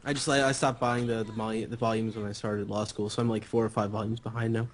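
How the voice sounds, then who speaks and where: Fast-talking young man, casual. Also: lowish voice, level tone. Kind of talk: casual chat about manga.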